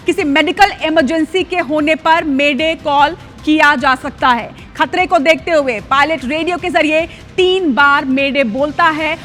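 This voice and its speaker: A woman's voice: high-pitched, bright, forceful tone. A woman anchoring live breaking news in an urgent, emphatic manner, driving key points home with repetition.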